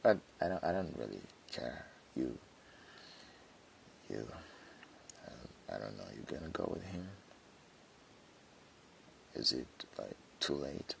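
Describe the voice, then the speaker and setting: A man acts out a jealous tsundere boy-next-door in an intimate audio roleplay. His voice is extremely deep and crackles with heavy fry, and he speaks slowly and haltingly, repeating himself as he hides his feelings. A faint hiss sits behind him. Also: big pitch swings, heavily acted. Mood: nervous. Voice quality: very deep, gravelly. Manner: hesitant, halting, with stammered repeats. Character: jealous tsundere young male friend confessing hidden love. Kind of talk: intimate boyfriend ASMR roleplay.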